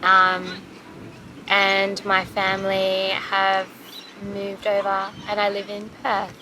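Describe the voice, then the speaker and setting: A woman introducing herself in a plain, unhurried way. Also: mid-range voice, completely flat delivery, background noise. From Yarrabah, Australia. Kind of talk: self-introduction.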